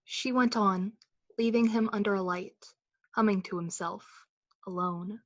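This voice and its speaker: A woman playing the narrator of a suspense radio play, speaking in a measured, dramatic storytelling style with a slightly husky voice. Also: medium pitch, steady tone, a bit bright, lo-fi sound. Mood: ominous.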